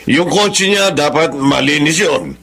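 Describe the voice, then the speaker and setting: A man's voice: on the high side, unhurried, a bit bright. A stern older father from a wealthy Filipino household in a radio drama. He speaks with authority and a Filipino accent, slipping into English as he goes. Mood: commanding. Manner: commanding, code-switches into English. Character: stern, domineering wealthy father, socially jovial.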